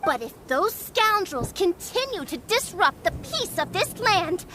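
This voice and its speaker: A woman's voice: very high voice, big pitch swings, unhurried, bright, forceful tone, a little hiss or hum, heavily acted. A woman voicing a small, proud and fierce fairy guardian with haughty, grandiose delivery in a fantasy game fan dub. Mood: haughty, indignant. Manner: haughty, grandiose, old-fashioned declaiming.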